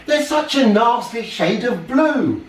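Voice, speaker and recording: A man acts out an outraged old grandfather alien in a children's storybook. The scolding voice leaps high and swoops with indignation, stressing each warning. It sounds roomy and a little distant from the mic. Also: lively intonation, slow pace, exaggerated voice. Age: older. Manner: emphatic, indignant, chanting warnings. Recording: roomy, distant home recording with hiss.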